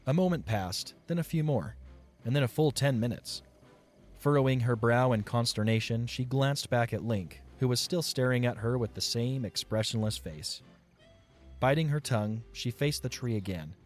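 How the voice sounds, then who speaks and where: A man's voice: medium pitch, moderate pace, darkish tone, faint music behind. A man narrating scripted fiction as the storyteller of an audio play.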